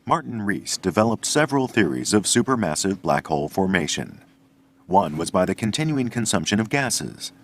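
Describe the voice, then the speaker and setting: Low-voiced male documentary narrator. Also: very animated, moderate pace.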